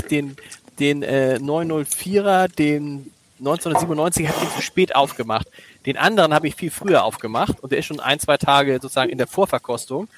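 A man hosting a casual podcast chat, lively and laughing, often stumbling over his words. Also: fairly high pitch, slight background noise. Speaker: podcast host.

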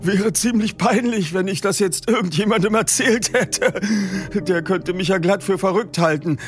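A man's voice: high voice, moderate pace, voice pushed up, lightly acted. A man voicing a frightened elderly man of nearly eighty who stammers and gasps for breath. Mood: nervous.

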